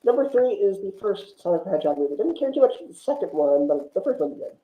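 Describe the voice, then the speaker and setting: A man's voice: sits high, sing-song, fast talker. A man in a casual film chat, opinionated and rambling as he talks. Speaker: film discussion podcast guest. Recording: roomy echo, thin phone-like line.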